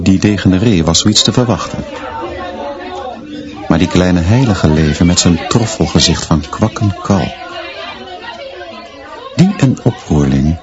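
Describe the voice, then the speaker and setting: A man's voice: deep voice, highs cut off, full character voice. A man playing a stern, brooding schoolteacher who narrates his own story in terse, literary sentences for a radio play.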